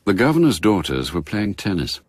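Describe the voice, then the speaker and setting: An actor narrating a spy thriller radio drama as a grave, measured storyteller with an English accent. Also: male voice, low voice, very animated, background noise. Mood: measured, wistful.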